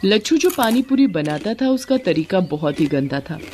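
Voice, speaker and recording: A woman's voice: on the low side, very animated, moderate pace, a little mellow, slight background noise. A woman narrating a scripted moral story as a storyteller.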